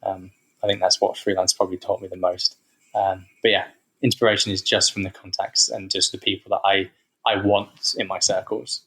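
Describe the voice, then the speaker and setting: A low-voiced man chatting easily as a podcast guest. He rambles in a relaxed way, with frequent fillers and restarts. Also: normal speed, breathy edge, low-quality recording. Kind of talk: casual interview chat.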